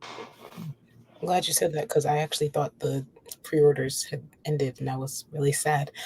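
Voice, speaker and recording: A woman's voice: low voice, fairly even intonation, measured pace, mellow, breathy edge. A woman hosting a podcast in a casual, informal and unscripted chat style. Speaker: podcast host.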